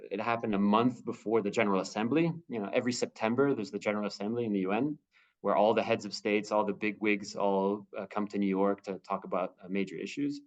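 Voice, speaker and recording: A lower-voiced man speaks over a video call, hesitant and full of restarts as he answers career questions. The call audio sounds dull and muffled. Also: steady tone. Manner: halting, many fillers and restarts. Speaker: humanitarian spokesperson.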